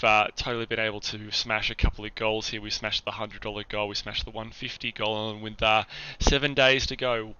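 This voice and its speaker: A young man chatting casually on his flight sim stream, a bit under the weather with a deeper voice than usual, full of fillers and restarts. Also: pushed and bright, smooth. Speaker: charity streamer. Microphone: small boom mic. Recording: lo-fi boom mic.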